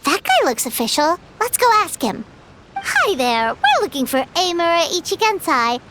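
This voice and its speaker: Tiny squeaky fairy sidekick for a game, chirpy n blunt, super high n bouncy. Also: female voice, wildly swooping pitch, normal speed, background noise, exaggerated voice. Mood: cheerful, eager. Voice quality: squeaky, childlike. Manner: refers to itself in third person, exclamatory. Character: small childlike floating fairy companion, chirpy, curious, blunt. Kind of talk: animated video game voice acting.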